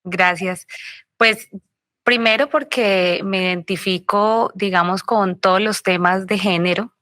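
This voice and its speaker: A woman's voice: medium pitch, fairly even intonation, unhurried, fairly bright tone, no echo. Woman, dry close voice. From Colombia, Pacific coast. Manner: reflective, searching for words. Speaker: journalist guest. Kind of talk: panel interview answer.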